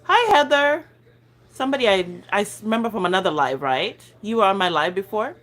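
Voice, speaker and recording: A woman's voice: mid-range voice, very animated, brisk, fairly bright tone. Woman, 30s, accented English, casual phone livestream host, chatty and giggly, trips over words. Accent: non-native English accent. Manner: chatty, rambling, laughs often, stumbles over words. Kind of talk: casual live chat reading viewer comments.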